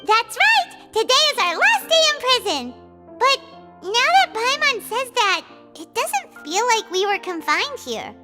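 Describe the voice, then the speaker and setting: A woman voicing a small, excitable childlike fairy companion in a video game, squeaky and bubbly, referring to herself in the third person. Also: measured pace, exaggerated voice. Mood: excited. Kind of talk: video game character acting. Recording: music behind the voice.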